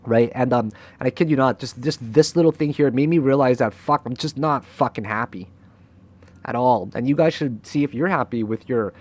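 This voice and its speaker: A man's voice: medium pitch, moderate pace, darkish tone. A young man, a crypto trader and channel host, giving a candid motivational talk to camera, frank and emotional, swearing freely and often tagging "right?".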